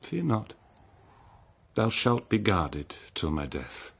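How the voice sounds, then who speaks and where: Very deep, grave betrayed king. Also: very animated, takes it slowly, vocal fry, husky, heavily acted. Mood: solemn sorrow. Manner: grave, declamatory. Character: betrayed, sorrowful king, stern and noble, facing doom. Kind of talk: dramatic verse radio drama.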